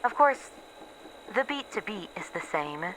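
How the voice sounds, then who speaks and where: A weary, bitter female researcher played by a woman records a lonely log in a horror roleplay. She talks slowly and haltingly, with sighs and sardonic swearing. The voice is bright and mid-pitched, over faint background noise. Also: big pitch swings, low-quality recording. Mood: melancholy, musing. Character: bitter, weary female researcher losing her memories, hiding alone. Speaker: voice actor in an audio roleplay. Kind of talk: ASMR horror roleplay monologue, acted audio log.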